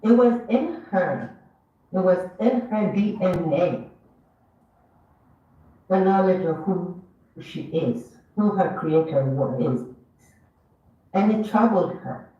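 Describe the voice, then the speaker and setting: A speaker from Ethiopia giving an earnest, measured commemorative speech at an event, lifting their voice on key words. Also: mid-range voice, expressive. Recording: dull, echoey hall, mic far off.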